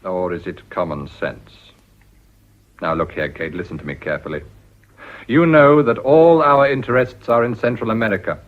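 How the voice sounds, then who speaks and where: Deep-voiced, suave English financier, played by a man. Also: lively intonation, moderate pace, natural voice. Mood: smooth, menacing. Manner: suave, sardonic, formal. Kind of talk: period radio drama acting. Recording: dull, lo-fi radio recording.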